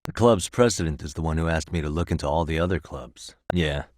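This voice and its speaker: Laid back american detective from a video game, deep voice, wry and casual, really dry studio sound. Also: male voice, a little fast, no echo. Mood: friendly, wry. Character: easygoing, wry adult male private detective. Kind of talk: video game voice acting, conversational dialogue.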